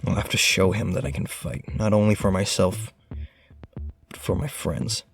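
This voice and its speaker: A man's voice: low-pitched, normal speed, creaky. A man playing an earnest, brooding teenage boy from a rich family in an audio drama, speaking a little hesitantly. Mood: brooding resolve.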